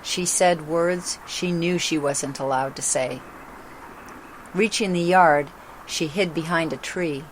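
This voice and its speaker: A female voice, set low, reads a children's story. The delivery is scripted, dramatic narration. Some background noise sits behind the voice. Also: slow, poor audio.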